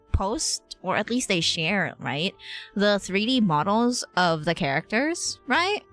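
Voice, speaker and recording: A woman's voice: mid-range voice, a bit bright. A woman streaming a game with casual live commentary, chatty, rambling and giggly, using plenty of slang.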